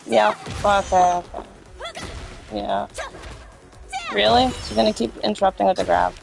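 Young canadian woman gamer, creaky voice, blunt slangy chat, game music behind her. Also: medium pitch, steady tone, measured pace. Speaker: video game streamer. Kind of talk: casual chat while playing a fighting game.

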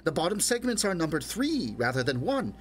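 A man's voice: on the high side, big pitch swings, fairly quick, slight background noise, voice pushed up. A man voicing a polite, trusting young newlywed woman in an audiobook reading.

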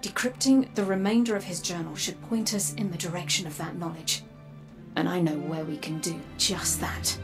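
An actress playing a grave, guarded woman warrior in a video game, measured and solemn, her breathy voice dropping toward a whisper. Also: lowish voice, fairly even intonation, natural voice. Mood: resolute, serious. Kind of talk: dramatic video game acting. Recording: game music underneath. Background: music.

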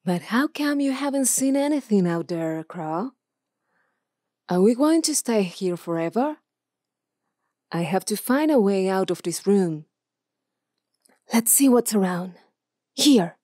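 A woman's voice: medium pitch, expressive, takes it slowly, pauses often, warm tone, dry recording, studio quality. A woman with an Argentine Spanish accent playing a restless, determined adventurer in a video game.

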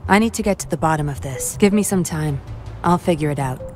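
A woman's voice: deep voice, fast talker, natural voice. An actress playing a determined young female undercover agent in a video game. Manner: earnest, resolute. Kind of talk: game voice acting. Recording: game audio over music.

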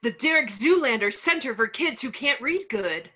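A woman's voice: on the high side, animated, normal speed, pushed and bright, husky, exaggerated voice. A woman playing a pompous, fussy fashion mogul villain in an amateur comedy script read over video call. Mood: grandiose, fussy. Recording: slightly dull video-call audio.

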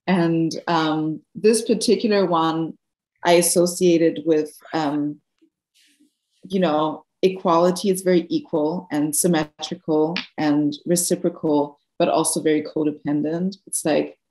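A woman's voice: sits low, steady tone, unhurried, a little mellow, reverberant, low-quality recording. A woman in her thirties, a native German speaker with a German accent, answering questions after an art lecture, thoughtful and searching for words with many fillers. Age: 30s. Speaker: guest artist lecturer.

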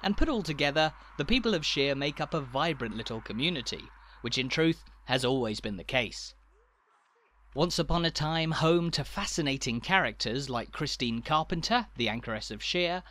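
Guy doing travel doc narration, kinda higher voice, really up and down intonation, polished. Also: fairly bright tone. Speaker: walking tour narrator. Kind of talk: scripted travel documentary narration.

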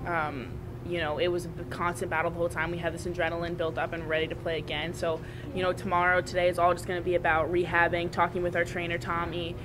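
Brief: young woman rugby player, postgame presser, earnest, monotone, humming background. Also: fast talker. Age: young adult. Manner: earnest, repeats and restarts phrases. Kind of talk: postgame press conference answers. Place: press room at a draped table. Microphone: table mic on a small stand. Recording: steady background rumble.

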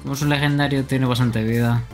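A man giving casual, deadpan, teasing commentary on a game stream. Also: mid-range voice, fast talker, faint music behind.

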